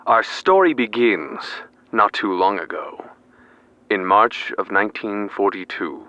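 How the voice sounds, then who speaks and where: Young man as a low, portentous radio narrator. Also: pushed and bright. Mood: grave storytelling. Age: young adult. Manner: portentous, measured. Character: grave, ominous 1940s radio play narrator. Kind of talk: dramatic scripted narration. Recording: dull radio-style audio, faint noise.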